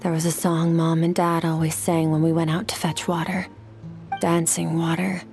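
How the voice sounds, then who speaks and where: A woman voicing a cold, proud, weary warrior woman in a video game, aloof and measured. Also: low-pitched, darkish tone. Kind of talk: scripted video game voice acting.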